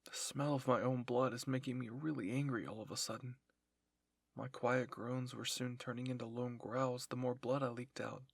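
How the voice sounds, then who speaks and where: A man reads fan fiction aloud in an intense, emotive style. His voice is husky and breathy, recorded dry and close with no room sound. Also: medium pitch, fairly even intonation, moderate pace, no room sound. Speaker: narrator of fan fiction roleplay. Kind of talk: dramatic first-person story reading.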